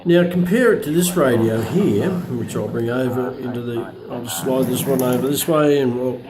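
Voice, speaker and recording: A man presenting a product review in a casual, unscripted way, explaining features in a hesitant, halting manner. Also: medium pitch, a crowd in the background.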